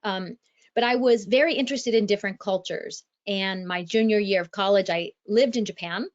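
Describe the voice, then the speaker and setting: A woman from Ohio speaks as an author on a podcast, telling her story in a steady, measured way. Her voice sits in a middle range. The audio is a little dull. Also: medium pitch. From Ohio, USA. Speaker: author and guest. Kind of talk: podcast interview.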